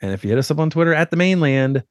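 A man's voice: a little high, expressive, very fast, no room sound, clean studio sound. A man hosting a podcast, interviewing a guest and chatting with his co-host in an upbeat, chatty way.

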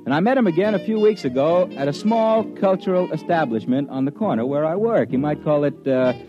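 An actor playing a sardonic, slangy disc jockey telling his story in an old-time radio drama, with drawn-out hesitations. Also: fairly high pitch, expressive, over music, natural voice. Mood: wry storytelling. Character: wry, hip, cash-strapped radio disc jockey narrator. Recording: dull vintage radio recording.

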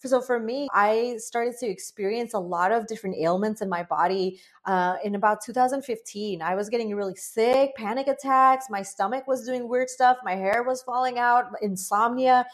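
A woman's voice: a little high, moderate pace, few pauses. A woman from Bangladesh in her late twenties or thirties, a breathwork facilitator talking earnestly and chattily as an interview guest. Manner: earnest, chatty, many "like" fillers, animated quoted asides. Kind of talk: conversational interview.

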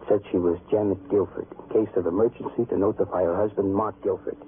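An actor playing a weary, compassionate emergency doctor in an old-time radio drama, speaking gently and haltingly. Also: male voice, low-pitched, fairly even intonation. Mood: weary, earnest. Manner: halting, gentle, repeats himself. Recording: noisy, narrow vintage radio recording.

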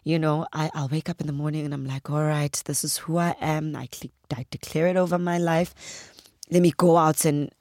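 A woman from South Africa, a guest actress on a podcast interview, with a husky, deep voice, talking in an earnest way that turns animated as she tells stories. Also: brisk, no room sound, clean studio sound.

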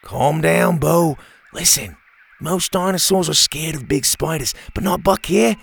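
Excitable dinosaur expert, lecturing, raised pitch, gravelly edge, as a storyteller puts him on. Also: male voice, measured pace, exaggerated voice. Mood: reassuring. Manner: enthusiastic, lecturing.

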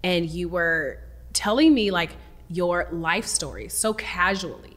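A woman's voice: on the low side, slow, a bit bright, big-room sound. A woman hosting a podcast interview, chatty and enthusiastic, with many "like"s. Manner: chatty, enthusiastic, says "like" a lot. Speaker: podcast host. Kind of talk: casual interview chat.

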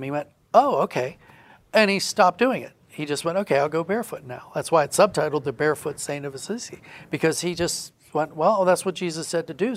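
A middle-aged man talking reflectively as a guest in a conversational interview, acting out little quotes as he explains. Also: a little high. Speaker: guest, audio drama writer.

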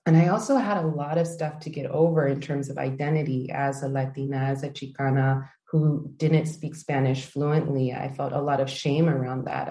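A woman in her thirties from the United States, giving measured, thoughtful answers as an interview guest with frequent ums and uhs. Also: very deep voice, mellow. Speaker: interview guest, language project founder. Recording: echoey room.

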